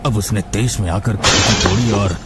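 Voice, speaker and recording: A man narrating a scripted animated horror story. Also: on the low side, moderate pace, very noisy. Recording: loud music and storm effects behind.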